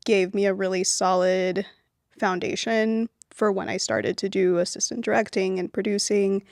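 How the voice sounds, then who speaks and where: A young woman from Venezuela, raised in Miami, talks easily about her film work, her sentences full of loose fillers. Her voice sits at a middle pitch with a noticeable gravelly fry. She is recorded close and dry. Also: moderate pace, no room sound. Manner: relaxed, with frequent "um" and "like" fillers. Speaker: guest, film producer. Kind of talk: casual interview conversation.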